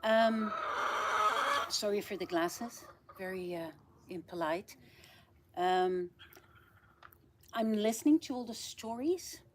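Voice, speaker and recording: A woman from the Netherlands speaking personally to a group, emphatic and repetitive, pausing between phrases. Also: medium pitch, a little mellow. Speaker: nurse. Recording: noisy live stream, clicks, roosters.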